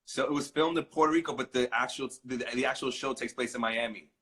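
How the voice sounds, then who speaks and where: A man's voice: mid-range voice, fast, sharp tone. A man, an actor joining his co-star's live stream, chatting casually in a jokey way with stammering restarts. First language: English. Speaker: actor, co-star guest.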